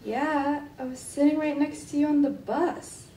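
A woman's voice: sits high, unhurried, warm tone, a little hiss or hum. A teenage girl playing a sensible, firm young peacemaker, speaking earnestly in a school radio drama on an echoey stage. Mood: helpful. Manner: earnest, reasoning. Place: indoor theatre stage. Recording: echoey stage hall, distant microphone.

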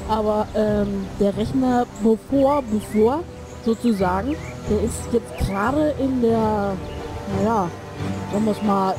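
A woman casually commenting on an online game she is playing, a bit sick and short of breath, rambling and correcting herself with jokes. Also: fairly high pitch. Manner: rambling, self-correcting, joking. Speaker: gameplay streamer. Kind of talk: casual let's play commentary. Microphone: desk microphone in a new recording room. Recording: voice over loud game music.

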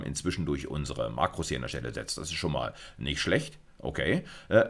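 A middle-aged man lecturing at university level in an explanatory screencast, informal and emphatic, with frequent check-in words. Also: a bass voice. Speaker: university computer science lecturer. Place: indoors, recorded screencast.

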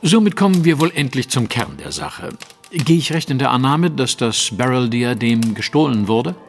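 An actor playing a shrewd gentleman detective in a German audio drama, precise and inquiring. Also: medium pitch, big pitch swings, moderate pace. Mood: attentive. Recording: reverberant room with music.

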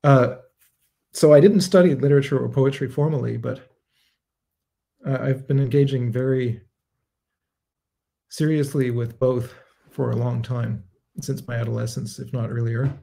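A man in his thirties, a composer, answering viewer questions on a livestream from his home study in a measured, reflective way, pausing and correcting himself as he thinks. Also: medium pitch, fairly even intonation, vocal fry, warm tone. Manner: thoughtful, measured, with frequent fillers and self-corrections. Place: home study lined with bookshelves. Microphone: webcam framing at desk, livestream.

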